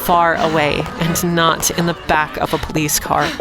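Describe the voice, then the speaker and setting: A woman's voice: low voice, level tone, normal speed. A woman playing a defiant young street fighter who tells their story in a noir audio drama. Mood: somber, intense. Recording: dramatic music behind.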